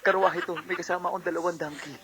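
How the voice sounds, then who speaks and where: A man's voice: high voice, flat delivery, fast talker, very noisy. A Filipino man calling a radio show, polite and dramatic with playful sweet talk.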